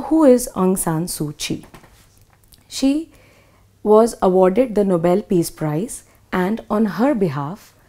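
A woman in her thirties with an Indian English accent, clearly and methodically explaining a school English lesson. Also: mid-range voice, mellow. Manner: clear, methodical, spells words out. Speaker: English teacher. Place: small studio in front of a whiteboard.

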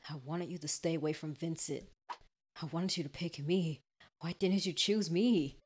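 A woman's voice: low voice, normal speed, slight background noise, natural voice. A woman voicing an obsessive, jealous young man turned murderous stalker in a visual novel, pleading and accusing. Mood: hurt, furious.

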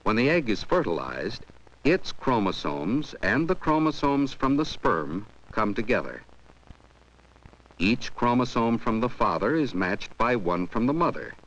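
A man reading scripted educational film narration in a measured, explanatory way. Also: mid-range voice, very animated, fairly bright tone. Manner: measured, explanatory, poses questions to the viewer. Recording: dull 1970s 16mm film soundtrack.

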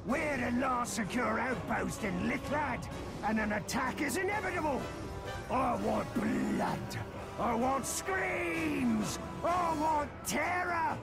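An actor voicing a bloodthirsty orc captain in a video game, gravelly, bellowing slow and emphatic threats. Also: male voice, extremely high pitch, lively intonation, exaggerated voice. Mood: rousing, bloodthirsty. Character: bloodthirsty orc outpost captain, possessive, ranting. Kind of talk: video game villain acting. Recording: loud music behind.

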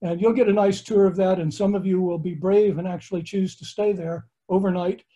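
An older man with an American accent talks through a slideshow from his book-lined study. His voice sits fairly high for a man yet sounds mellow and warm, and he explains things at an even, friendly pace. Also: a little fast, lo-fi sound. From United States. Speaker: astronomer and tour lecturer. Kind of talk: online slideshow lecture. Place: home study with bookshelves.

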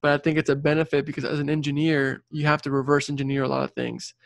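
A man's voice: fairly high pitch, little pitch movement, fast, vocal fry, dry recording. A young man whose first language is Spanish, talking casually and earnestly as an interview guest, repeating points for emphasis. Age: late 20s or 30s. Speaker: interview guest, civil engineer and career coach.

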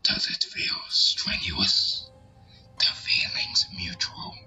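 A man playing a tormented, cruel animatronic rabbit in a harsh gravelly whisper for a horror game reel, lo-fi recording. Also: slow pace, bright, forceful tone, faint music behind. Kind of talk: fan-made horror game character reel.

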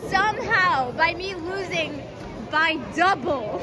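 A woman's voice: very high-pitched, expressive, slow pace. A young woman vlogger in casual chat, playfully trash talking and mocking herself while bowling in a large indoor alley, filmed on a phone at arm's length. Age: young adult. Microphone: phone camera held at arm's length. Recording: loud crowd and noise behind. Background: bowling alley crowd noise.

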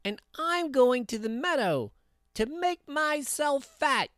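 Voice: high voice, lively intonation, slow pace, a bit bright, no echo, clean studio sound, pitched above the performer's own voice, exaggerated voice. A storyteller voicing a tiny, timid young billy goat with a small, meek, pleading way of talking.

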